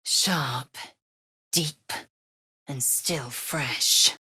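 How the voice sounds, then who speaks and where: A woman voicing a fierce, proud githyanki warrior woman in a video game, with a husky voice and clipped, imperious, formal speech. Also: extremely low pitch, very slow, sharp tone, no echo, studio quality, exaggerated voice. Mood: observant. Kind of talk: video game character voice acting.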